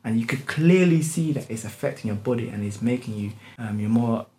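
A man's voice: medium pitch, expressive, brisk, dark tone. A young man, talking candidly in a casual podcast chat, swearing and using street slang.

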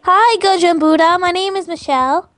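A woman's voice: very high voice, moderate pace, fairly bright tone, no echo. A woman making a casual prank phone call, keeping up innocent, chirpy small talk. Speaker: prank caller.

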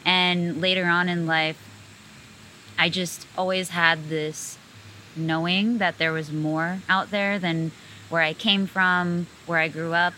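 Low, bright-toned American woman. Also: little pitch movement, slow pace, a little hiss or hum, rough recording. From United States. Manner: fluent, earnest, many "like" fillers. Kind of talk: casual conversation.

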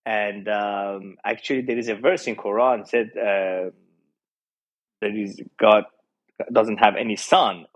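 Man in his forties, persian accent, husky, earnest and reflective, halting delivery, interview guest. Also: on the low side, steady tone. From Iran. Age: 40s. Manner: earnest and reflective, with frequent hesitations. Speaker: pastor, podcast guest. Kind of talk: personal testimony in an interview.

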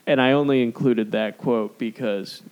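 Man on a podcast, a bit hoarse, chatty, laughs a lot, reads quotes. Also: medium pitch, moderate pace, muffled recording. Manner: laughs often, reads review quotes aloud. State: losing his voice. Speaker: podcast co-host. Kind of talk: casual chat.

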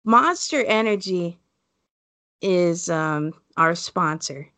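A woman's voice: lively intonation, slow, pushed and bright, dry recording. A woman co-hosting a casual comedy podcast, wry and teasing in her banter. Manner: teasing, wry, with sudden high jumps of pitch. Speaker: podcast co-host.

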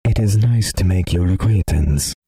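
A man's voice: sits low, normal speed, mellow, breathy edge, voice pushed up, exaggerated voice. A man voicing a polite, formal non-human being with stiff delivery. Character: polite, formal robot or alien greeting humans.

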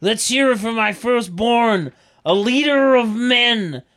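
A table reader acts out a boastful older sitcom father. He is loud and hearty, pitched up high, and barks his words in emphatic repeats. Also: animated, slow pace. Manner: hearty, barking, emphatic repetitions. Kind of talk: comedic sitcom table read.

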